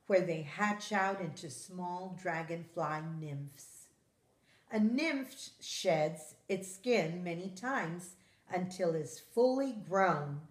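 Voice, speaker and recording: Slow-reading woman in an echoey room. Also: fairly low pitch. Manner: measured, expressive storytelling for children. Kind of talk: children's nonfiction read-aloud.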